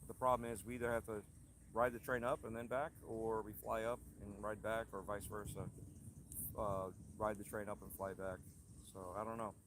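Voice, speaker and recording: A man with a cold-hoarse voice, hosting a casual outdoor live stream and reading out viewer comments. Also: lowish voice, a little hiss or hum. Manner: rambling, chatty, reads out viewer comments. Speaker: channel host. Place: outdoors at a haunted trail attraction. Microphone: handheld camera rig.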